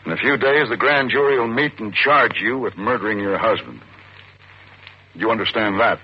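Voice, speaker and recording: An actor playing a stern, forceful American deputy district attorney in an old-time radio suspense drama, commanding, clipped and formal. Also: male voice, fairly low pitch, normal speed, slightly rough. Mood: stern, pressing. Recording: muffled, noisy old radio recording.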